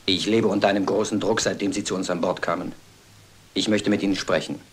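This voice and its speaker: Timid ship's officer played by a man: lowish voice, hesitant, earnest, downtrodden radio-drama delivery. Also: fairly even intonation, brisk, close to an everyday voice. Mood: anxious, confessing. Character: timid, dejected naval officer, male. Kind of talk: German detective radio play acting.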